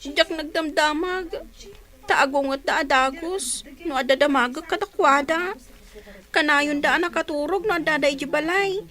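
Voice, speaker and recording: A woman's voice: sits high, measured pace, sharp tone. A woman playing a meek, pleading young daughter with an Ilocano accent and plaintive manner in a radio drama. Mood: downcast. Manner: plaintive, submissive.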